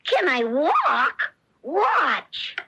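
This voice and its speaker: A woman's voice: sits high, huge pitch swings, extremely slow, pushed and bright, slight background noise, full character voice. A woman playing a mischievous, impudent wooden marionette boy in a 1970s radio drama. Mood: eager, brash. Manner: brash, impudent.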